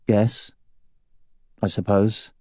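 A man voicing a young husband, halting and strained with shame. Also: lowish voice, flat delivery, mellow, no room sound, clean studio sound. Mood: subdued. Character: young husband, anguished and ashamed.

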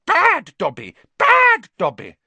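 Tiny male elf, shrill high voice, obsequious, overwrought, animated, storybook character. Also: normal speed, dry recording, heavily acted. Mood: frantic. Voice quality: high-pitched, piercing. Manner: emphatic, obsequious, talks in third person. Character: small earnest, servile, overwrought male house elf. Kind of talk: audiobook character voice.

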